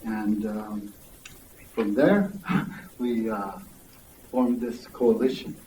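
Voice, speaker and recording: A man's voice: lowish voice, dark tone, slight background noise. Guy on a panel, lots of uhs and ums, far from the mic, echoey room. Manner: halting, with frequent uh and um fillers. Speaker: coalition member, panelist. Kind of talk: panel discussion.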